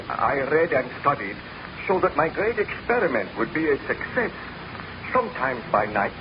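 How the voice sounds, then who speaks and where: A muffled, noisy vintage radio recording carries a tormented baron scientist. His voice sits fairly high, grave and theatrical, obsessed and worn out, with formal 1930s radio drama phrasing.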